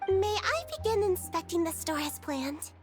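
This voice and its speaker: A woman's voice: extremely high pitch, moderate pace. A woman voicing a small, cheerful, polite creature in a game who inspects shops. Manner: polite, earnest, proud of her special eyes. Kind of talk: game voice acting. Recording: game dialogue over music.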